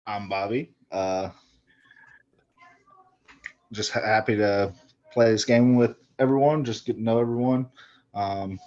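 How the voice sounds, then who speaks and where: A man in his thirties gives a halting, low-key introduction in a mellow voice. The sound is muffled and lo-fi, and keyboard clicks can be heard behind him. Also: steady tone. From North Carolina. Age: 30s. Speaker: contestant.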